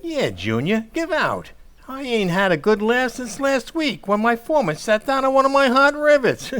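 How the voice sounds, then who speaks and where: Man playing a blustering working-class dad, very animated. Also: very high voice, normal speed, exaggerated voice. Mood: jovial, curious. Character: gruff working-class dad, dense, blustering, easily offended. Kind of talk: old-time radio comedy acting.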